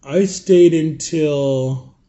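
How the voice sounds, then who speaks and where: Chatty man in his thirties, higher voice. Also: extremely slow, mellow, highs cut off. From Florida. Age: 30s. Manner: rambling, self-correcting, chatty. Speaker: vlogger. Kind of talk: casual storytime chat to viewers.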